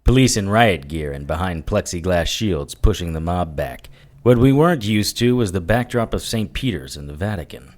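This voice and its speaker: A man's voice: deep voice, expressive, moderate pace, darkish tone. An actor playing a wisecracking, weary adult male hero in an audio drama, dry and sardonic. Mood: narrating. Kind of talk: audio drama acting and narration.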